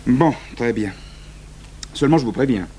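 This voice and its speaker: A man's voice: medium pitch, expressive, moderate pace, warm tone, lightly acted. A man acting a sceptical, exacting magistrate in his thirties in a French radio crime drama, dry and formal. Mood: insistent, stern. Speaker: actor playing an examining magistrate. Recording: noisy 1980s radio recording with hum.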